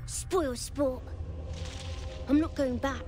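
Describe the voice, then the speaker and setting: A woman's voice: high voice, slow pace, darkish tone, soft background music. A woman voicing a defiant, spoiled young boy spirit in a game, sulky, childish and taunting. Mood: taunting defiance. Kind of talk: dramatic game cutscene acting.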